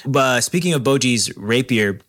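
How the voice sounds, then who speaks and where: Woman, podcast co-host, enthusiastic and rambling, very lively pitch. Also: measured pace. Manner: enthusiastic, rambling, laughs easily. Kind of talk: casual chat.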